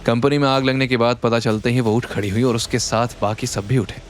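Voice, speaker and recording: A man's voice: mid-range voice, fairly even intonation, fairly quick, faint music behind. A man narrating a scripted drama story as its narrator, in a dramatic style.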